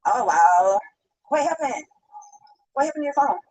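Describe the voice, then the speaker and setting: Woman, high voice, dry close sound, chatty livestream talk. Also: animated, normal speed, darkish tone, dry recording, poor audio. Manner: chatty, greets viewers by name. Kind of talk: casual livestream chat. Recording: sped-up archived livestream audio.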